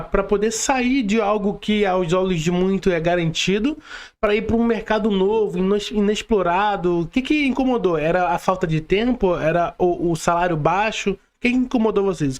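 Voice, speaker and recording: A man with a Brazilian accent and a notably high-pitched voice hosts a podcast. He interviews casually, in an everyday conversational style. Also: lively intonation, moderate pace. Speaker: podcast host.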